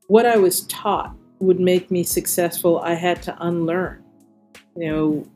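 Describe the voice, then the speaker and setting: Middle-aged American woman, interview guest, slightly low voice, measured and articulate, faint music underneath. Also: mellow. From New York City, United States. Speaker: interview guest, corporate diversity executive. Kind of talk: reflective personal talk in an interview.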